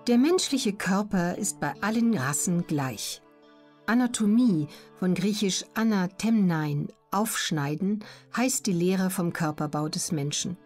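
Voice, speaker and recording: Low-pitched woman narrating. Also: animated, unhurried, darkish tone, faint music behind. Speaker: audiobook narrator. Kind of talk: scripted documentary audiobook narration.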